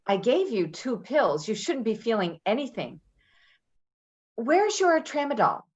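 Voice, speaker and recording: A woman's voice: a little high, big pitch swings, unhurried, breathy edge, muffled recording, heavily acted. A woman acting a tough, wheedling, manipulative caregiver in a play reading, pushy and slangy. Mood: irritated.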